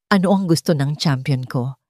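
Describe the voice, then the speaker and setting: Filipina narrator doing a devoted rich filipino dad in an audiobook, sincere and tender, mellow, dry sound. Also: female voice, low-pitched, moderate pace, no echo. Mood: fond. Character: earnest, tender adult man, wealthy devoted father.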